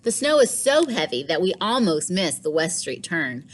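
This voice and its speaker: A woman teacher reading a storybook aloud to children in an expressive way, with deliberate dramatic pauses. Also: lowish voice.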